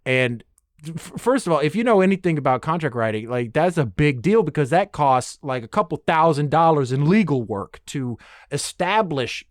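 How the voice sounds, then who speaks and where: A man hosting a solo podcast, talking casually in an animated, emphatic way and swearing freely. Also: a little high, moderate pace, no echo, studio quality. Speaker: podcast host.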